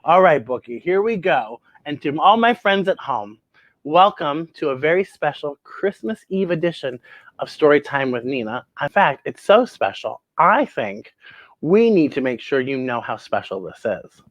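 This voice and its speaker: A woman hosting a children's story show, reading aloud expressively with theatrical, drawn-out phrasing and emphatic pauses. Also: sits low, very animated, dry recording, poor audio. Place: indoors at home.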